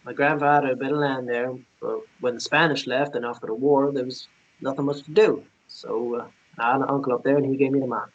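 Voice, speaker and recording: Actor as male ghost of a miner, shy, halting, earnest, protective, fantasy romance drama. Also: mid-range voice, fairly even intonation, dull audio, close to an everyday voice. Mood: matter-of-fact. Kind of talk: table read of a fantasy romance script.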